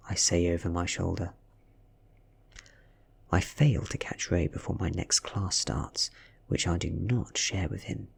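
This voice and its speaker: Very husky, soft-spoken British man. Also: deep voice. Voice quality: soft, breathy, near-whispered. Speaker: audiobook reader. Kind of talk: soft-spoken ASMR story narration.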